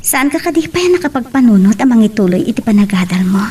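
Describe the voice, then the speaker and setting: A woman's voice: on the high side, expressive, quick pace, mellow, close to an everyday voice. A woman playing a studious, headstrong young daughter in love, in a radio soap. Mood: hurt, pleading. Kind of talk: Philippine radio soap acting.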